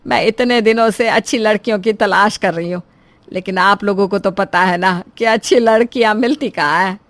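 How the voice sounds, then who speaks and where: A woman's voice: mid-range voice, normal speed, fairly bright tone, no echo, natural voice. A woman voicing a protective middle-aged mother of grown children, earnest and fretful, in a family story. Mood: pleased, agreeable. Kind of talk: Hindi family story voice-over.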